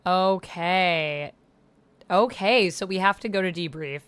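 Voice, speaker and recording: A woman's voice: fairly low pitch, takes it slowly, fairly bright tone, clean tone. A woman hosting a livestream, chatting informally, thinking aloud and reading on-screen text. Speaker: livestream host and player.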